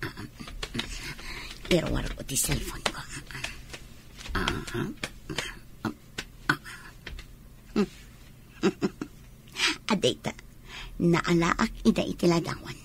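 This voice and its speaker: A woman playing a scheming, spying gossip in an Ilocano radio drama, talking in drawn-out mutters and exclamations with a husky voice. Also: on the low side, animated, a bit bright, exaggerated voice. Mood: sly, gloating.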